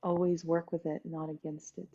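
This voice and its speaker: A woman leading a guided meditation as its teacher, talking in an unhurried way with pauses between short phrases. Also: deep voice, little pitch movement, mellow. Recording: dull lo-fi sound, music behind. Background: music.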